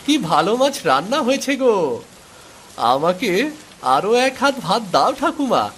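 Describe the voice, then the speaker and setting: A man's voice: high-pitched, big pitch swings, moderate pace, a bit bright, slight background noise. A man voicing a good-natured, humble young village shop boy in a cartoon, eager and affectionate. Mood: lively, hungry. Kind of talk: cartoon voice acting. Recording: music under the voice.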